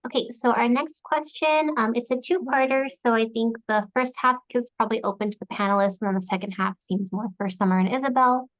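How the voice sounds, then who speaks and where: A woman moderating a panel on a video call, briskly reading out audience questions. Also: a little high, no echo. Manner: brisk, reads questions aloud. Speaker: event moderator. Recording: dull, dry sound.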